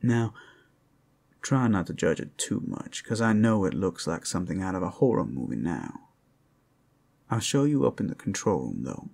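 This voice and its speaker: A man acting as teasing male werewolf, husky, swinging intonation, flirtatious, friendly, ASMR roleplay. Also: low voice, very animated, normal speed, a little mellow, full character voice. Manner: teasing, smooth-talking, addressing one listener.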